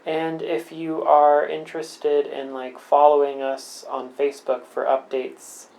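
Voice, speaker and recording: A man's voice: fairly high pitch, completely flat delivery, a little slow, creaky, darkish tone, rough recording. A man who writes and acts in a podcast, giving informal, offhand credits in a casual chat style. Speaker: show's writer, producer and actor.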